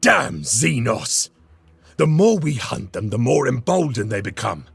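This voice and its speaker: A gravelly space-marine lieutenant talks with wide swings of pitch. He is resolute, dutiful and military, a hardened officer from a game cutscene. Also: sing-song, unhurried, exaggerated voice. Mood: determined.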